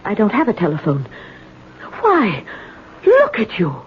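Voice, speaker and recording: A woman's voice: mid-range voice, wildly swooping pitch, unhurried. A woman playing an anxious, indignant older lady living alone in a 1970s radio drama, hesitant and plaintive, often stammering. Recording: muffled, noisy old radio broadcast.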